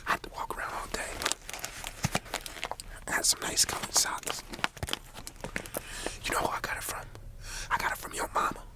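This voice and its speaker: A man playing a stern but caring high school principal in a whispered ASMR roleplay, scolding a student in informal, folksy language with a breathy voice. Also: normal speed, long pauses, sharp tone. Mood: folksy, encouraging. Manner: informal, admonishing, folksy phrasing.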